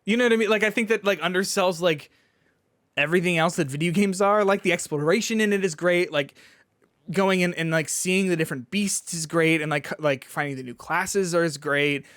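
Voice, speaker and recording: A man co-hosting a podcast, chatting casually about video games in a halting way, often restarting his thoughts. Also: sits high, fairly bright tone, dry recording, studio quality. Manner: halting, filler-heavy, starts and restarts thoughts. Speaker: podcast co-host and games reviewer. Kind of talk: casual video game chat.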